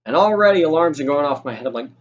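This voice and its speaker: A man's voice: a little high, lively intonation, racing. Young man rambling through a story, lo-fi audio. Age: young adult. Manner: rambling, informal and profane. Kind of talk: casual storytime monologue. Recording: dull lo-fi audio with noticeable noise.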